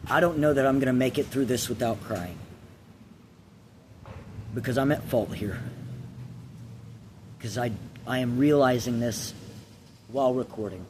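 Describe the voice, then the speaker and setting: A man tells a personal story with a moral in an earnest, confessional way, halting and deliberate between short phrases. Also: medium pitch, slight background noise.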